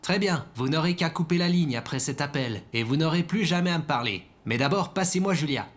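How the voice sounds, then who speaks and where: A man's voice: a little high, lively intonation, brisk, lightly acted. A man playing a petulant, helpless, exasperated character in an audio drama. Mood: demanding. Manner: whiny, exasperated. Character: petulant, helpless, stubborn man living alone.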